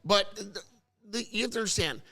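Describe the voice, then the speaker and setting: A hoarse man with a high, gravelly, bright voice hosts a casual talk show. He is losing his voice. He argues excitably, and his pitch keeps jumping upward. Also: a little fast. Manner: excitable, pitch jumps up when arguing. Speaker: podcast host. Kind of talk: casual banter. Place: car service center.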